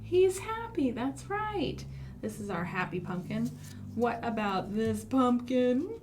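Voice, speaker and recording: American woman, storytime host for small children. Fairly high voice, expressive, encouraging, slight background noise. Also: slow. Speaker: children's librarian hosting storytime. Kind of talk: interactive children's storytime with songs and finger rhymes. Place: live online stream for a library.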